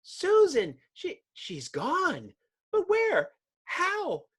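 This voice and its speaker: A man's voice: very high voice, huge pitch swings, very slow, a bit bright, pitched above the performer's own voice, heavily acted. A man voicing a flustered, panicky society woman in a comic-book reading. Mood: alarmed.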